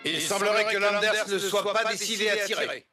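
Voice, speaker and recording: An actor playing an excitable soccer match announcer, giving dramatic play-by-play in a French cartoon dub. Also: male voice, high voice, normal speed, faint music behind. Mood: tense. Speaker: match commentator.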